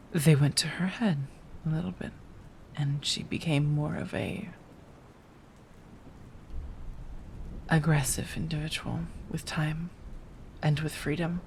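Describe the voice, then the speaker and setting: A woman playing a remorseful, tender vampire in an ASMR roleplay, speaking softly and haltingly, often slipping into a whisper. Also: low voice, creaky, a little hiss or hum, heavily acted. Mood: somber, reflective. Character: remorseful, tender female vampire rescuing her beloved.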